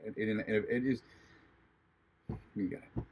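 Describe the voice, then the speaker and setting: Husky-voiced man telling a personal story. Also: on the low side, level tone, a little mellow, rough recording. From Hawaii. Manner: halting, many restarts and fillers; earnest, reflective. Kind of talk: personal testimony, casual storytelling.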